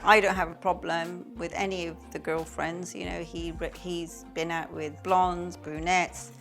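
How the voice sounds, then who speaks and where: Chatty middle-aged mum telling stories. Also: female voice, fairly low pitch, flat delivery, measured pace, vocal fry, soft background music. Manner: warm, chatty storyteller, acts out quotes. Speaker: vlogger's mother, guest. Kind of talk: casual chat while cooking.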